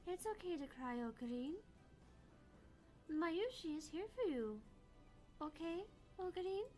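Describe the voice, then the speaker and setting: A woman voicing a gentle, childlike girl in a visual novel game, speaking softly and soothingly. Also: very high-pitched, expressive, measured pace, faint music behind. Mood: tender, soothing. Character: gentle, childlike girl comforting a grieving friend.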